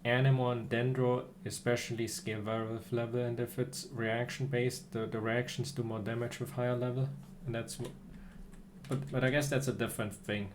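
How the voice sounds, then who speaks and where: A man livestreaming a game, chatting casually about strategy in a hesitant, halting way as he thinks aloud. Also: mid-range voice, level tone, background noise.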